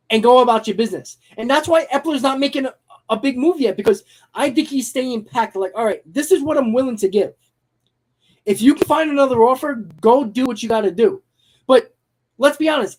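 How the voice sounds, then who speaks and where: A man hosting a live-streamed sports talk show, hoarse from losing his voice, giving blunt, emphatic opinions. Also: very high voice.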